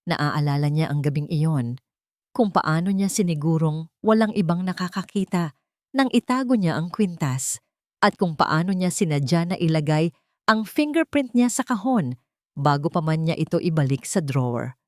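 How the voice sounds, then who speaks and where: A woman's voice: low-pitched, animated, normal speed, darkish tone, no room sound, studio quality. A woman reading a scripted romantic drama as an audiobook narrator.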